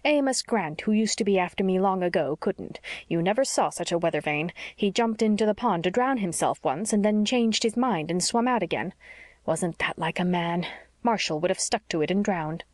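A woman voicing a blunt, sharp-tongued older spinster in an audiobook story, with a brisk, scolding manner. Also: fairly low pitch, lively intonation, dry recording, studio quality, natural voice. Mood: tart.

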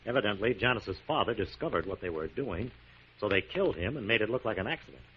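A man's voice: fairly low pitch, expressive, fast talker, husky. An actor playing a composed, self-assured male detective in a 1940s radio drama. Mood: matter-of-fact. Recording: muffled, noisy 1940s radio recording.

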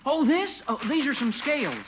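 High-voiced, earnest young husband. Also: male voice, wildly swooping pitch, moderate pace, background noise, heavily acted. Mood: earnest worry. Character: earnest, boyish young husband, expectant father. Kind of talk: 1950s radio sitcom acting. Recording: muffled old radio broadcast.

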